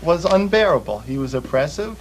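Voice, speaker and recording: A man's voice: a little high, sing-song, a little fast, slight background noise, low-quality recording. A man joking around while being interviewed as a musician. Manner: joking, wisecracking. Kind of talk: comic interview banter.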